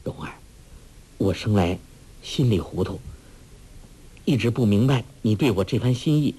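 A man voicing an honest, slow-witted young swordsman in a martial arts story, speaking earnestly and with worry. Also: medium pitch, very animated, breathy edge. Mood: gentle. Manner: earnest and worried.

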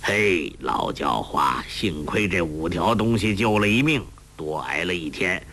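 A hearty, crude old beggar master with a low, gravelly-toned growl that sinks even deeper when he schemes, as a male narrator puts him on. He drawls slowly and mocks everyone around him in this storybook telling, with a lively swing in his voice. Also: a little hiss or hum, full character voice. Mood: scheming, grumbling. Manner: rough, mocking, coarse and laughing. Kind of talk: audiobook character voice.

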